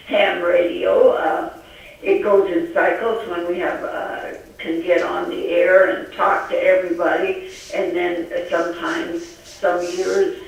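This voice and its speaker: A woman chatting casually as an amateur radio operator, hesitant and searching for her words. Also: fairly low pitch. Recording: very echoey, distant, dull lo-fi audio.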